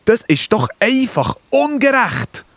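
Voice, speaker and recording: A man's voice: extremely high pitch, very animated, takes it slowly, a bit bright, no echo, full character voice. A man playing a jealous, indignant older farm son in a Swiss German children's Bible radio play. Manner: indignant, complaining.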